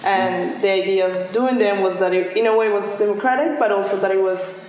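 A woman from Colombia, an artist and curator, talks loosely about her work and says "like" again and again. Her voice is mid-pitched. It is captured in a very echoey room. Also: steady tone, brisk, background noise. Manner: casual, heavy use of "like". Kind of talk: interview conversation.

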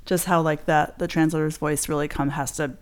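A woman co-hosting an arts podcast, asking questions with warm curiosity. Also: low-pitched, flat delivery, brisk, clear, resonant tone. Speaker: podcast co-host. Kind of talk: casual interview chat.

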